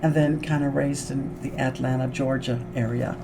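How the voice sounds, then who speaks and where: A woman in her early sixties hosts a local radio show, chatty and relaxed, often trailing off mid-thought. Her voice is notably low for a woman. Steady background noise sits under the recording. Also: normal speed. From Tucson, Arizona. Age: early 60s. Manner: chatty, laughs easily, trails off. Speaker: radio DJ host. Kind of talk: casual interview chat.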